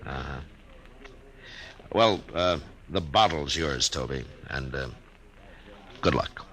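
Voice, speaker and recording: An actor playing a laconic, weary American frontier marshal, acting and narrating in an understated, terse way in a 1950s radio western. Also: male voice, rumbling low, animated, exaggerated voice, low-quality recording. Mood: terse, steady. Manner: understated, hesitant pauses, terse. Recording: vintage radio recording, loud music behind.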